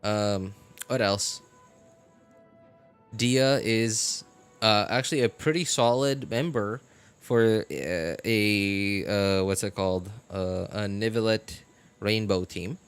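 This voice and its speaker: A man's voice: lowish voice, slow pace, clear, resonant tone, soft background music. Rambling man chatting on a game stream. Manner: rambling, filler-heavy, animated opinions. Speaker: gaming streamer. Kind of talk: casual live-stream chat.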